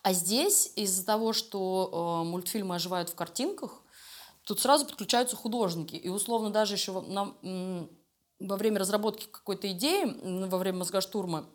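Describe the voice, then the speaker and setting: A woman's voice: medium pitch, brisk. A woman being interviewed, talking casually and telling stories in informal, slangy speech. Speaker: animation studio creative director being interviewed. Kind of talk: casual conversational storytelling interview.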